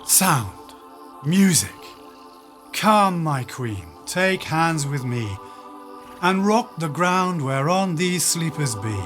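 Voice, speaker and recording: A man playing a stately, scheming fairy king, speaking deliberate verse in a Shakespearean radio play. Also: on the high side, lively intonation, a bit bright, soft background music. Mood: commanding, jubilant.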